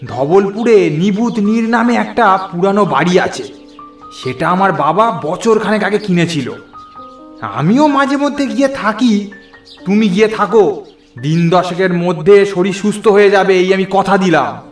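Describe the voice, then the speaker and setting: A man's voice: high-pitched, lively intonation, a little slow. A man voicing a confident, persuasive male friend in a horror cartoon. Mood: brisk, reassuring. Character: lively confident male friend urging a sick man onward. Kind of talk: Bengali horror cartoon voice acting. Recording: echoey, music behind.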